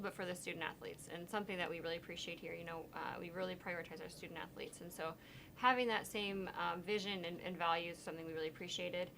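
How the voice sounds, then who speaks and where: A woman's voice: lowish voice, fairly bright tone, a little hiss or hum, poor audio. A woman, an associate athletic director, answering interview questions earnestly with many fillers.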